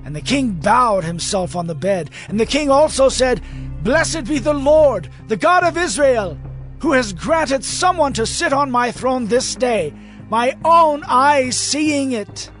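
Earnest young herald, very high urgent voice, audio Bible drama with faint music. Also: male voice, expressive, measured pace, fairly bright tone, exaggerated voice. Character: earnest young male messenger bearing grave news.

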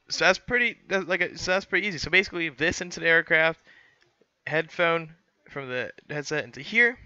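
A young man talks through a how-to in a loose, rambling way, stopping and restarting often. His voice is smooth and very bright. It comes through a headset mic in a small, dry room, with a slightly dull sound. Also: medium pitch, dry recording. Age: young adult. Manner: rambling, many fillers and restarts. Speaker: student pilot vlogger. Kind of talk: casual how-to explanation. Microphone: headset boom mic.

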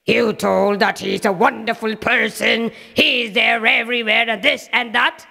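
A woman storyteller voicing a furious, arrogant male demon king who shouts orders. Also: a little high, fairly even intonation, unhurried, vocal fry, pitched above the performer's own voice, full character voice. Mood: mocking. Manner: roaring commands, mocking laughter. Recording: long hall reverb.

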